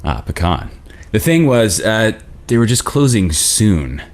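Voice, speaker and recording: A man's voice: on the low side, very animated, unhurried. A man acting in a table read as an awkward, friendly dentist on a date, reading conversationally. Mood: awkward, amiable.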